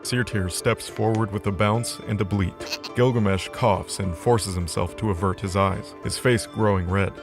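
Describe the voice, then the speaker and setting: A man's voice: lowish voice, steady tone, moderate pace, hardly any pauses, over music. A man narrating a scripted audio drama as its storyteller.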